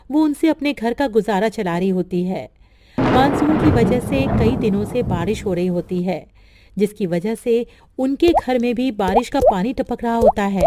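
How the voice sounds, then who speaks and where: A woman narrating a cartoon storybook in a steady, plain way. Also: fairly high pitch, noisy background.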